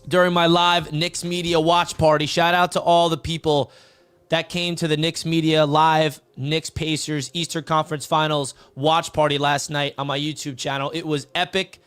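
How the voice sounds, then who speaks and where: A young man hosting a live sports talk stream, his voice raspy and worn from yelling, talking in a hyped, casual way and often tossing in "man.". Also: on the high side, no echo. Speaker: sports podcast host. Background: soft background music.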